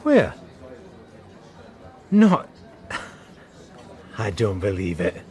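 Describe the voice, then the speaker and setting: An actor in a radio drama playing a well-meaning, deferential detective sergeant, hesitant and apologetic. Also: male voice, fairly low pitch, huge pitch swings, drawn-out words, a little mellow, faint music behind, heavily acted. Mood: pleased, surprised.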